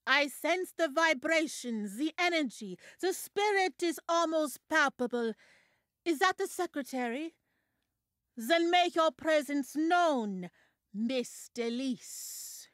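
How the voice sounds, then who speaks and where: Woman acting a theatrical psychic lady, high voice, drawn out and portentous, coaxing, ghost story audio drama. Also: lively intonation, takes it slowly, fairly bright tone, no echo, studio quality, heavily acted. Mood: awed, dramatic. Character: theatrical female spirit medium, mysterious, coaxing and self-assured.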